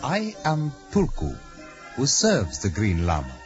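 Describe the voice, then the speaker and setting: A man's voice: mid-range voice, wildly swooping pitch, slow. A male actor playing a reverent, wise servant of a mystic hero, speaking formally and deferentially in a 1940s radio drama. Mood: solemn, narrating. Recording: dull vintage radio recording, music.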